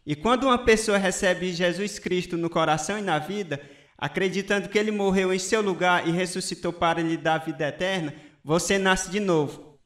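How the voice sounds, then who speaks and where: A man's voice: high-pitched, fairly quick, a bit bright. A man acting as an earnest evangelist giving a didactic sermon in a radio drama. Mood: earnest, persuasive. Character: earnest male evangelist preaching salvation.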